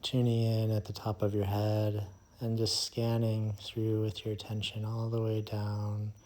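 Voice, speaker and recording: A man leading a guided meditation in a soothing, hushed manner, leaving long pauses between phrases. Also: lowish voice, monotone.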